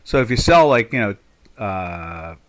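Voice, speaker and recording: A man's voice: lowish voice, big pitch swings, unhurried, a bit bright. Older man with a South Jersey accent. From Pinelands of South Jersey. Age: 60s. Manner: rambling, chatty, many fillers and restarts. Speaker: market trader and stream host. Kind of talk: live trading chat, thinking aloud.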